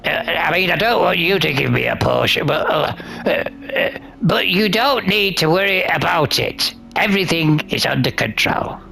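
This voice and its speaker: A man voicing a flustered, evasive old wizard from a visual novel, with a creaky voice and a hesitant, stammering delivery. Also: a little high, expressive, normal speed, bright, forceful tone, soft background music, exaggerated voice, low-quality recording. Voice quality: gravelly, creaky. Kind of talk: visual novel character read-aloud.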